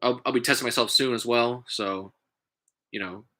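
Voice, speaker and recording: A man with a stuffy, congested nose, talking in a halting, casual way with many fillers and restarts, vlogging from home. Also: mid-range voice, steady tone, sharp tone. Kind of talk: casual rambling vlog.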